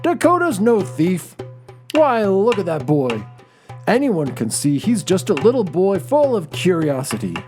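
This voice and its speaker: A man doing a gruff, indignant neighbor from a children's storybook. The voice is raised in pitch and swings widely, and the delivery is slow and emphatic. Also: huge pitch swings, darkish tone, soft background music, full character voice. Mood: relieved. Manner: indignant, then joyfully relieved. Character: angry accusing male neighbor, gruff.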